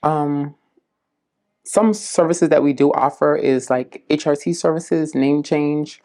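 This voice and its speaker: A woman from South Florida, a community case manager, giving unhurried, earnest interview answers, often pausing with "um" and "like". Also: deep voice, mellow. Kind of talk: casual interview answers to prompts.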